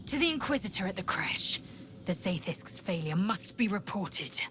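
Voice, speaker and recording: A woman's voice: mid-range voice, big pitch swings, normal speed, a bit bright, a little hiss or hum. An actress playing a proud, disdainful alien warrior woman in a video game, speaking in formal, haughty declarations. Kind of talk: video game character acting.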